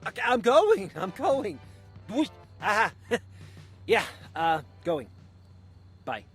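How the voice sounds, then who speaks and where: A man's voice: high voice, big pitch swings, slow, fairly bright tone, breathy edge, faint music behind, heavily acted. Practical, fumbling businessman. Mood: flustered. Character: brisk, practical, awkward businessman and family friend. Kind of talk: visual novel voice acting.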